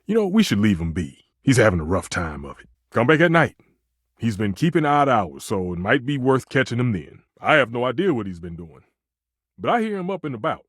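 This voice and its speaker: A man's voice: medium pitch, big pitch swings, brisk, no echo, studio quality. A man voicing a passionate, proud kitchen stove in a video game, a dramatic food purist who speaks emphatically and with grand flourishes. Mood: concerned, confiding. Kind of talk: theatrical video game character dialogue.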